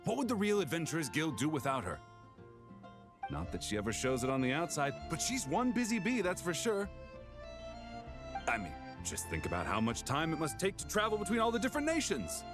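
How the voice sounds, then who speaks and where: A man's voice: fairly high pitch, wildly swooping pitch, brisk. A man voicing a friendly, easygoing adventurer in a video game, chatty and folksy. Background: music.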